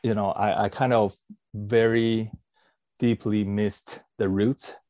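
A man's voice: lowish voice, flat delivery, slow, darkish tone, no room sound, clean studio sound. A man answering interview questions in a hesitant way, with many fillers and restarts. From born in Taiwan, raised in Canada. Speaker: podcast guest, food company executive.

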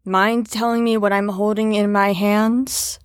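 A woman's voice: medium pitch, flat delivery, a little slow, no room sound, close to an everyday voice. A woman in an audio drama playing a firm carer on a patient ward, with a dry, exasperated manner. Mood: firm, stern.

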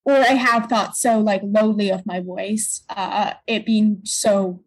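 A woman's voice: medium pitch, fairly even intonation, takes it slowly, husky, rough recording. A young American woman with a childlike voice, talking casually and reflectively as an interview guest, often hesitating as she goes. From Pennsylvania, United States. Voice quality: childlike, youthful tone. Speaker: voice and stage actor, interview guest.

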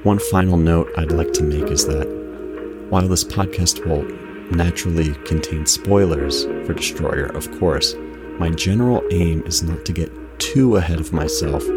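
A male podcast host delivers a scripted introduction. His voice is low and his phrasing formal and deliberate, with music loud underneath. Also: fairly even intonation.

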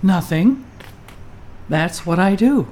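A woman's voice: mid-range voice, lively intonation, takes it slowly, darkish tone. An actress playing a lonely, sluggish older woman who grumbles and wisecracks at her own expense in a stage play. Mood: downcast, wry. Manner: wry, grumbling, self-mocking. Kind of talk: scripted two-person stage comedy-drama.

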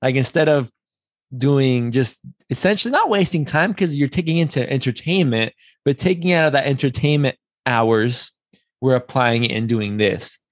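A man's voice: mid-range voice, lively intonation, normal speed, dry recording. A man hosting a podcast interview in a casual, talkative and affirming way. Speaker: podcast host.

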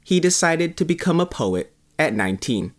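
A man narrating a scripted news article as a reporter, with a measured, formal delivery. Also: a little high, big pitch swings, background noise. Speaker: newspaper reporter reading his article.

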